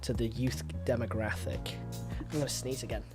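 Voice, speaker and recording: A man's voice: medium pitch, fairly even intonation, normal speed. A man with a slightly stuffy voice chatting casually as a live game streamer, rambling with wry asides and mild swearing. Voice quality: stuffy, congested. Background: music.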